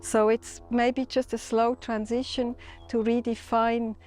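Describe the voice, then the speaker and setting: Middle-aged woman from Switzerland, fairly high voice, calm and reflective, recorded outdoors with soft music under. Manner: thoughtful, unhurried, with small restarts. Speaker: stud farmer. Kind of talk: documentary interview. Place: outdoors on a farm.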